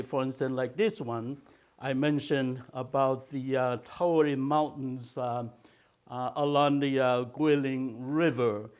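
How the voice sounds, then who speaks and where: An older man from China, raised in Malaysia, teaching a watercolor technique in a slow, halting way with many filler sounds. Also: mid-range voice. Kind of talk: instructional video talk.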